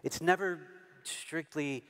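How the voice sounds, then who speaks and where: A man's voice: a little high, takes it slowly, fairly bright tone. Thoughtful male panelist in a hall. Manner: thoughtful, stammers while choosing words. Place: large convention hall. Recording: reverberant hall through PA.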